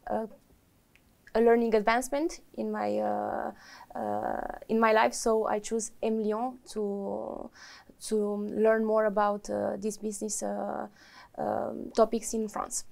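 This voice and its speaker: A woman's voice: medium pitch, slow pace, vocal fry, a little mellow. A woman from Romania, an MBA student answering interview questions hesitantly with many fillers.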